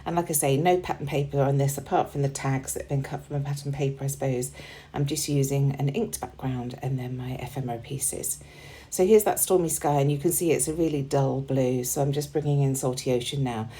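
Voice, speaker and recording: Low mellow lady, kinda hoarse, chatty craft voiceover with lots of ums. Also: a little fast. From United Kingdom. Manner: relaxed and chatty, with frequent ums and small laughs. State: slightly hoarse, losing her voice. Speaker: crafting channel host. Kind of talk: casual voice-over narrating a scrapbooking process.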